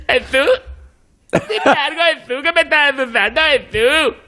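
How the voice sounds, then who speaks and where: A man's voice: extremely high pitch, bright, forceful tone, heavily acted. A man voicing a giggly, gushing old comic character in a radio comedy sketch, exclaiming and rambling through wheezy laughter. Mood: giggly delight. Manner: exclamatory, rambling, drawn-out cries. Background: music under the voice.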